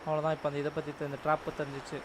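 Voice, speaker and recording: A man's voice: fairly high pitch, completely flat delivery, very fast, noisy background. A man, a native Tamil speaker, giving casual live gameplay commentary as a streamer. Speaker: game streamer.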